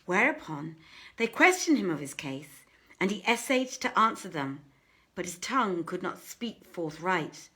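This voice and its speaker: A woman reading an old literary tale aloud as a storyteller, with expressive, measured phrasing. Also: lowish voice, slightly rough. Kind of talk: dramatic live literary reading.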